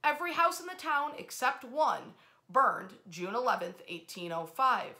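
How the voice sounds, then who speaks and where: A woman in her thirties with a fairly high, very bright and forward voice. She tells history informally to camera as a host, with expressive emphasis, speaking in a small bedroom. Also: normal speed. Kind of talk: informal storytelling to camera, part read aloud.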